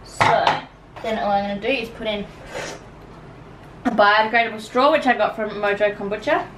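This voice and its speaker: A woman's voice: mid-range voice, steady tone, normal speed, a bit bright, slight background noise, low-quality recording. Chatty Australian woman vlogging to camera. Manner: chatty, upbeat, rambling with fillers. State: out of breath after a workout. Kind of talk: casual vlog chat. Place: home kitchen and bedroom, also a gym. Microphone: handheld camera at arm's length.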